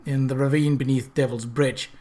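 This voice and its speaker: Period policeman, male, voiced by a male reader: even, methodical, matter-of-fact, firm and watchful. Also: mid-range voice, normal speed, natural voice. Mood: measured. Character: level-headed, vigilant male police officer.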